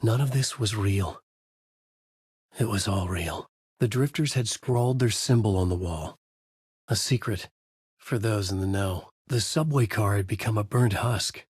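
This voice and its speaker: Haunted writer hero, brooding noir delivery, husky voice, clipped intense phrases, very dry studio sound, video game. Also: male voice, fairly low pitch, unhurried, a little mellow, no echo. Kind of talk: noir scripted narration and dramatic game dialogue.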